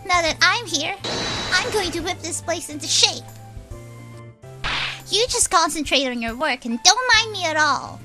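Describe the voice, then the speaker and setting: A woman's voice: very high voice, expressive, measured pace, pauses often, bright, forceful tone, faint music behind, full character voice. A woman in a game fan dub voicing an eager, sweetly polite young girl helper. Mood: eager, determined.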